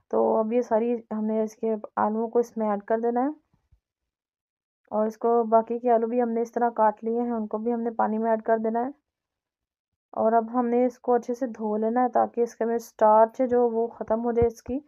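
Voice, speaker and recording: A woman's voice: on the high side, warm tone. A woman narrating a home recipe as host, explaining step by step and repeating her instructions. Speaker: home cooking channel host. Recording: dull, lo-fi home recording.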